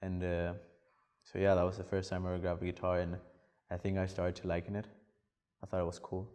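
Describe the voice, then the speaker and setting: A man's voice: deep voice, monotone, brisk, dark tone. A nineteen-year-old man with a Honduran Spanish accent, telling his story casually in an interview. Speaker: interviewee, guitarist. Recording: echoey hall with long reverb.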